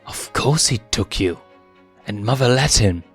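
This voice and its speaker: A man's voice: medium pitch, expressive, unhurried, voice pushed up. Guy doing a sinister young lioness, fake polite but pushy and scornful, storybook style, music behind. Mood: insistent. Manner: insistent, scornful, commanding.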